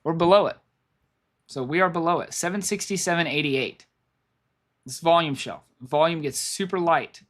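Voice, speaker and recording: A man with a slightly raised pitch talks viewers through trading charts on a live stream. His voice is going hoarse, and he rambles, repeats phrases and thinks out loud as he clicks around. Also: moderate pace. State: losing his voice. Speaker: stock market streamer.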